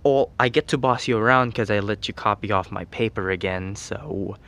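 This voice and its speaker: A man's voice: fairly low pitch, moderate pace, a bit bright. A young man playing a grumpy, teasing tsundere teenage best friend in an ASMR roleplay, scolding in an exasperated, whiny way. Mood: whiny, demanding.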